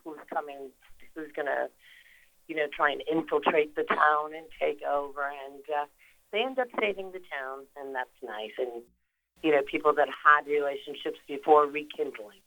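A woman's voice: low-pitched, moderate pace, dry recording, phone-quality sound. An older woman, an actress, talking in a phone-in radio interview, chatty and rambling with lots of fillers and restarts. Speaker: actress guest. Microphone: phone call.

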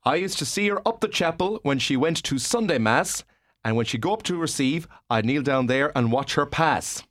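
A man's voice: a little high, expressive, normal speed, fairly bright tone, no echo, studio quality. An Irish man playing a deferential, eager radio sidekick who reads out listener messages in a comedy radio play. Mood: chatty, obliging. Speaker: radio co-host.

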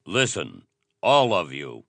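A man playing a bold aviator with deep, authoritative tones in an adventure story. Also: lively intonation, takes it slowly, bright, forceful tone, no echo, exaggerated voice. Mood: defiant, commanding. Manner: commanding, firm. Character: bold, authoritative male American aviator defying hostile princes.

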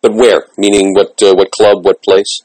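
A man's voice: deep voice, level tone, moderate pace, a little mellow, dry recording, poor audio. A man hosting a radio interview, chatty and enthusiastic, often restarting his phrases. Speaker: interview host.

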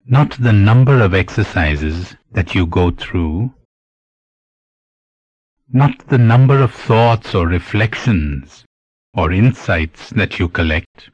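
A man with a fairly low voice leads a guided meditation. He speaks very slowly and deliberately, leaving pauses between phrases as he gives instruction. The recording is close and dry. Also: animated, darkish tone, slightly rough, no echo, lo-fi sound. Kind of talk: guided meditation, scripted spiritual narration.

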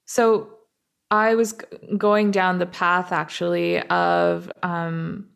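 A young woman who grew up in Canada, speaking as a guest in an interview and talking thoughtfully, with frequent pauses, fillers and restarts. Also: lowish voice, little pitch movement, creaky, a bit bright, smooth. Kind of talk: reflective interview talk.

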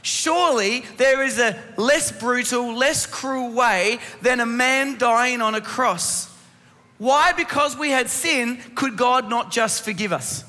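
Male preacher, laryngitis-strained but bright and forceful, addressing a congregation in a long-reverb hall. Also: extremely high pitch, slow. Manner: rhetorical questions, instructive, addressing the congregation directly. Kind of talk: Christmas sermon to a congregation. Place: church hall.

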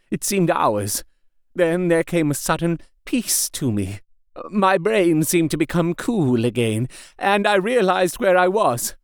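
A man's voice: high voice, smooth, dry recording, clean studio sound, pitched above the performer's own voice. A man voicing a weak, dying male asylum patient in an audiobook, breathless, strained and pleading. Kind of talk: audiobook character reading.

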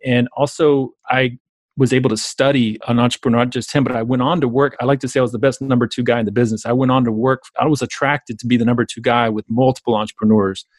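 Southern US male coach and speaker, very quick, emphatic interview delivery, close dry sound. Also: mid-range voice, steady tone, no room sound. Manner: emphatic, repeats phrases for stress. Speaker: business coach, speaker and author being interviewed. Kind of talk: conversational interview, storytelling.

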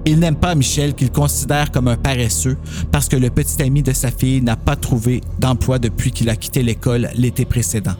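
A man's voice: medium pitch, fairly even intonation, a little fast, music in the background. A man with a Quebec French accent narrating a scripted horror story as host.

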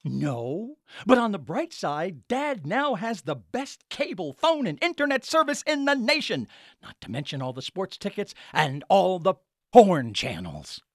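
An actor playing an exasperated, sarcastic son calling customer service in a comedic audio drama. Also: male voice, sits high, very animated, a little slow, no room sound, studio quality, exaggerated voice. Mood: sardonic. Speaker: caller.

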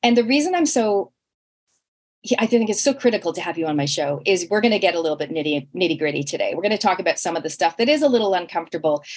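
Earnest radio host, a woman with a Canadian accent and a lower voice; chatty, restarts sentences. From New Zealand. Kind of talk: radio show hosting and interviewing.

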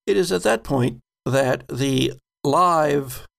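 Creaky-voiced male game master. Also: mid-range voice, slow pace, a bit bright. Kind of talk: narrating a tabletop roleplaying game.

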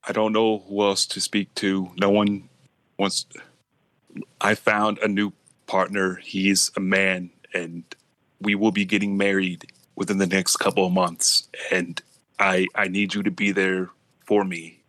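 A low, halting young man stammers and restarts his sentences, as a prank caller puts him on. The sound is close and dry, with no room at all. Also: level tone, fairly bright tone, dry recording. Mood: hesitant. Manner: halting, stammering, repeats words. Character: nervous young man coming out to family.

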